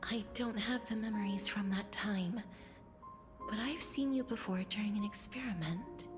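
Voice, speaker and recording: A woman playing a gentle, earnest young heroine in a fantasy video game, speaking politely in a hushed voice. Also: medium pitch, moderate pace, lightly acted. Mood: hushed wonder. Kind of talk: English video game voice acting. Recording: game dialogue under loud music.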